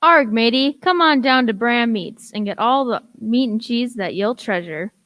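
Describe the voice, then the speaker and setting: Amateur radio ad: young woman doing a hearty pirate vendor, slightly high voice, dry sound. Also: normal speed, fairly bright tone, no room sound, natural voice. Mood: hearty selling.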